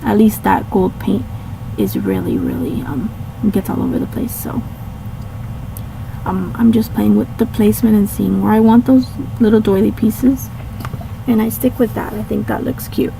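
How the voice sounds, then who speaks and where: A woman with a slightly hoarse, raspy voice casually narrating her craft video, rambling with many ums and restarts. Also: medium pitch, normal speed, background noise. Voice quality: raspy, catching a cold. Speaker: scrapbooking channel host.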